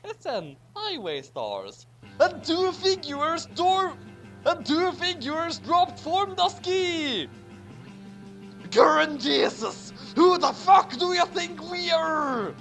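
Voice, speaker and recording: High-voiced man reading slowly over music. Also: sing-song, fairly bright tone. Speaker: co-reader of a comic story. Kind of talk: comedic dramatic reading.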